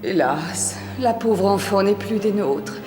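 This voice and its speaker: A woman's voice: mid-range voice, expressive, slow, warm tone, echoey room, faint music behind. A woman playing a vain, scheming middle-aged queen in a dubbed cartoon fairy tale, speaking in a formal, theatrical way. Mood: feigned grief.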